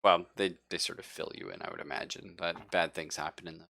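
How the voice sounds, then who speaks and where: A man's voice: deep voice, fairly even intonation, fairly quick, vocal fry, pushed and bright, slight background noise. A man narrating a tabletop roleplaying game as game master, casual and joking as he improvises.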